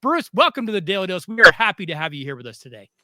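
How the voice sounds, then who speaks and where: A man's voice: high voice, big pitch swings, very fast, sharp tone, dry recording, studio quality. A man hosting a sports podcast, introducing his guest and asking questions with eager enthusiasm. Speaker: podcast host. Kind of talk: casual sports interview.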